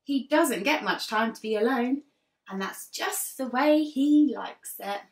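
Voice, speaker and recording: Woman, children's story reader, slow deliberate delivery, dramatic pauses, teacherly, slightly distant mic, roomy sound. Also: fairly high pitch. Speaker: infant school teacher reading aloud. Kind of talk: expressive children's storybook reading. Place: living room sofa, indoors. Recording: roomy, distant mic, faint music behind.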